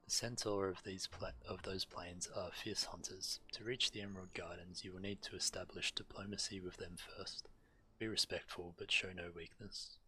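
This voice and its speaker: Bright, low-voiced male game streamer. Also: little pitch movement, moderate pace, breathy edge, poor audio. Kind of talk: reading quest text aloud. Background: game music.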